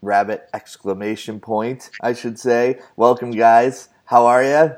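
A man's voice: medium pitch, fairly even intonation, measured pace, darkish tone. A man hosting a family podcast, talking in an upbeat, chatty way with playful asides. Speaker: podcast host. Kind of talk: casual podcast chat and segment narration.

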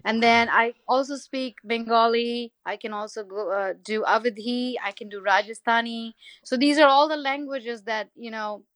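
A woman from India speaks, her voice sitting fairly high. She explains things emphatically as a guest singer, spelling words out. The sound is dry and close. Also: fairly even intonation, normal speed, few pauses, a bit bright, no room sound. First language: Gujarati. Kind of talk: interview conversation.

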